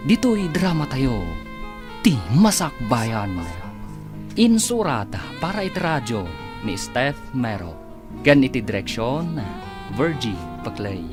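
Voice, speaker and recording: A man's voice: medium pitch, sing-song, takes it slowly. A man announcing a radio drama in scripted, dramatic narration. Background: music.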